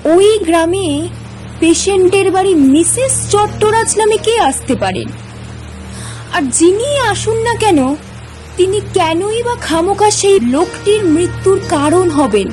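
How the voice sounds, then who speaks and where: High-pitched, slow-paced woman narrating horror. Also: animated, darkish tone, soft background music, rough recording. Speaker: audio story narrator. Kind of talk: scripted horror story narration.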